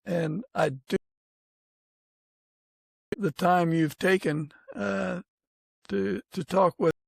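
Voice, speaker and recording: A man hosting a conversational podcast, with halting, gentle phrasing. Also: sits high, steady tone, creaky, breathy edge, no echo. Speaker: podcast host. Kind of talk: conversational interview and informal prayer.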